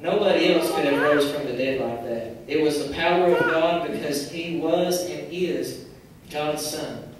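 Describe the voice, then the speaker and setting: A man voicing an earnest, patient fireman puppet who gently preaches and admonishes in a church puppet show. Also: a little high, a little slow, lightly acted. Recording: very echoey hall, distant mic, noisy.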